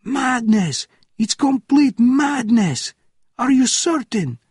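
An audiobook thriller's panicked gate officer, acted by a male narrator, shouting frantically in a high, strained pitch. The delivery swings wildly and sounds husky, in a dry recording. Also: lively intonation, slow, dry recording, full character voice. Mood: frantic screaming. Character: panicked gatehouse officer screaming about fires and bombs.

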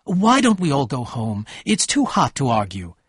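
A man's voice: medium pitch, wildly swooping pitch, normal speed, breathy edge, no echo, clean studio sound. A man voicing an unhappy, pleading young wife. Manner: pleading, plaintive. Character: unhappy, pleading young married woman, torn in love.

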